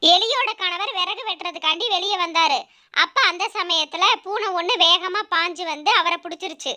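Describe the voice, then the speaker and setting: Very high, piercingly bright female storyteller. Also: moderate pace. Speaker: narrator. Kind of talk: scripted children's story narration.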